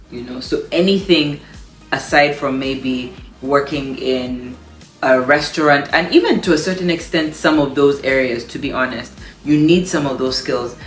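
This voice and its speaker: A woman in her thirties from Uganda, a pastor giving lively, emphatic advice on a couch, repeating phrases for stress. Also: a bass voice. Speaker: pastor and guest. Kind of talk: casual advice talk. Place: living room, on a couch. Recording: roomy echo, faint music.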